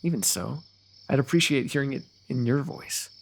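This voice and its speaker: A man playing a lovestruck, eloquent fantasy royal in a romantic ASMR roleplay, talking intimately and poetically with gentle teasing. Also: mid-range voice, animated, moderate pace, creaky. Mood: tender, earnest. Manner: intimate, poetic, talkative, softly amused.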